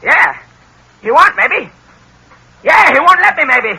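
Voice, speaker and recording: A man's voice: very high voice, sing-song, slow, creaky, sharp tone, exaggerated voice. A man playing a dim-witted, childishly gleeful hunchback dog keeper in an old-time radio drama, repeating himself in a cackling voice. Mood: slow, brooding. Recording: vintage radio drama recording.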